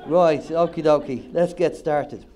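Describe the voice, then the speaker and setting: A man's voice: a little high, unhurried, warm tone, a little hiss or hum. An Irish man, a co-host of an internet radio show, presenting on stage at a conference in a chatty, joking way, a little nasal from a cold. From Ireland. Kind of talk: presenting on stage to a live audience.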